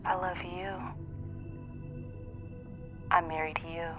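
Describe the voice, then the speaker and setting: A woman playing a tender, devoted wife, breathy and intimate, heard through a radio filter in an audio drama. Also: lowish voice, flat delivery, slow pace, narrow, tinny audio. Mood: loving, coaxing. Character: tender, devoted wife calling her partner home. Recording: band-limited radio link, music behind.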